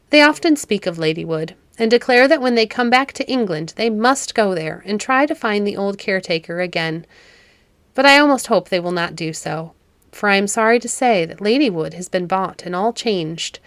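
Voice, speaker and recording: A woman's voice: medium pitch, no echo. A woman narrating a classic children's story as a read-aloud, in a dry, close recording. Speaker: storybook narrator and podcast host.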